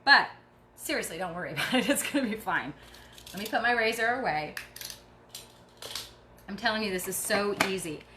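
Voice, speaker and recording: A woman's voice: mid-range voice, lively intonation, moderate pace, pauses often, a little hiss or hum. A middle-aged woman hosting a craft tutorial, chatty and encouraging as she works, sounding tired. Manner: chatty and encouraging, talks while working. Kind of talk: casual craft demonstration.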